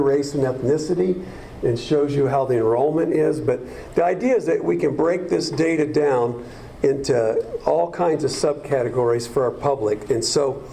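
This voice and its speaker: Mellow-voiced man presenting district data. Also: fairly high pitch, gravelly, slight background noise, low-quality recording. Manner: explanatory, loose, with frequent fillers. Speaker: school district administrator presenting. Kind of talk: presentation to a school board.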